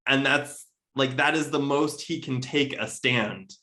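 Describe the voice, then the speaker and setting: A man's voice: medium pitch, a little slow, bright, forceful tone. A man in a casual literary discussion, talking emphatically and often checking in with rhetorical questions.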